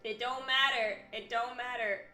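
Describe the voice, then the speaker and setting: A woman's voice: a little high, fairly even intonation, measured pace, pushed and bright. A woman streaming a video game, switching between live commentary and reading story text aloud. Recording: roomy echo, game music behind. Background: video game music.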